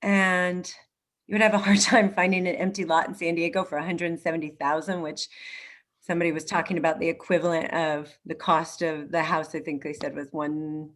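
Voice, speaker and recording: Female discussion host, slightly low voice, thoughtful and halting, speaking casually over a video call. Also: little pitch movement, fairly bright tone. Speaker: host of an online discussion after a play reading. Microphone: video call microphone.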